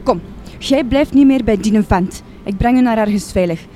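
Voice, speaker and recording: A woman's voice: on the high side, normal speed, warm tone, faint music behind, close to an everyday voice. A woman playing a kind-hearted, feisty and protective young woman in a radio drama. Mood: indignant.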